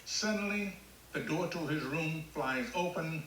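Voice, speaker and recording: A man's voice: on the high side, unhurried, a bit bright, reverberant, a little hiss or hum, poor audio. A man reading stage directions as scripted screenplay narration at a table read.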